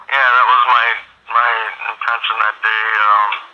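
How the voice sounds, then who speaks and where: A man conducting an informal phone interview, asking questions in a halting, repetitive way. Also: mid-range voice, level tone. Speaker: interviewer, community watch member. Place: telephone call. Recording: band-limited phone call with faint noise.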